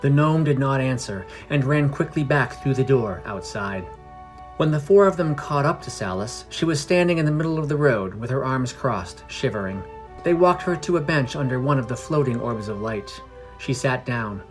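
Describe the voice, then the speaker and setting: A man reading a scripted fantasy story in a measured storytelling manner. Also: medium pitch, expressive. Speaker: podcast narrator. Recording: music under the voice. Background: music.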